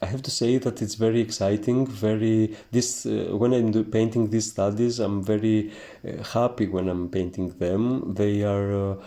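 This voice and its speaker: A man with a thick Greek accent teaching painting, talking gently through his steps with many hesitant fillers. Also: on the low side, steady tone, normal speed. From Greece. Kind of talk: relaxed narrated painting tutorial.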